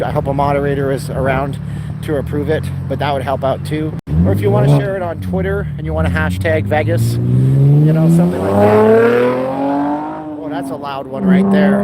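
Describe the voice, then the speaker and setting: A man's voice: a little high, gravelly. Chatty man live streaming a street walk. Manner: chatty, rambling, answers live chat comments. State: dry throat, clears it often. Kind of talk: casual live stream chat while walking. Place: outdoors by a busy boulevard. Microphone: handheld phone. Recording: outdoor phone recording with traffic noise. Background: passing cars and street traffic.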